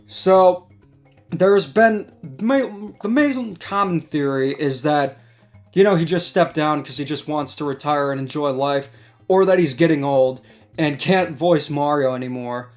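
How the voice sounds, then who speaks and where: A man's voice: a little high, measured pace. A man hosting a casual discussion, giving commentary over background music. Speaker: host. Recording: music playing behind the voice.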